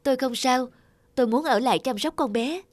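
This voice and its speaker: Woman playing a kind cartoon nurse, higher voice. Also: a little fast, dry recording, natural voice. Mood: gentle, caring. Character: kind, caring young female nurse. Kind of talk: cartoon dubbing.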